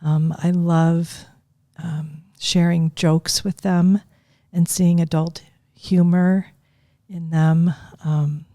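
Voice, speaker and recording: A woman in her fifties, a grandmother, recalling raising her children in a thoughtful, unhurried conversation. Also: lowish voice, flat delivery, dark tone, dry recording, studio quality. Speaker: guest. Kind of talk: casual interview chat.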